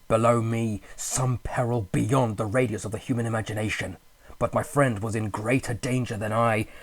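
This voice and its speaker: A man with a husky voice narrating a horror story in a grave, theatrical manner. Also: lowish voice. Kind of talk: dramatic horror audiobook narration.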